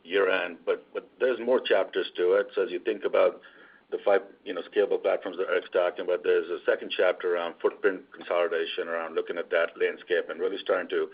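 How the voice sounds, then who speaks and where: A male executive speaks on an earnings call in a deep, husky voice. He moves quickly through his semi-formal business explanation. The audio is dull and band-limited. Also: level tone.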